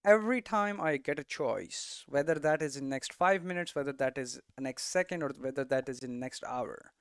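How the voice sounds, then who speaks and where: A man's voice: mid-range voice, moderate pace, smooth, no room sound, clean studio sound. A man giving a casual, unscripted tutorial as a game developer, thinking aloud and often checking in with rhetorical questions. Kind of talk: casual, unscripted explanatory talk.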